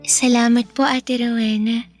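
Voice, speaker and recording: A woman's voice: fairly high pitch, flat delivery, unhurried, darkish tone, soft background music. A woman playing a polite, shy girl of about eleven in a Filipino radio drama, speaking hesitantly. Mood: shy, pleased. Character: polite, obedient eleven-year-old girl, shy and modest.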